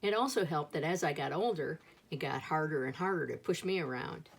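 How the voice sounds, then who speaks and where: A woman's voice: deep voice, lively intonation, brisk, creaky, breathy edge. A woman reading a children's picture book aloud as a storyteller, expressively acting out the dialogue.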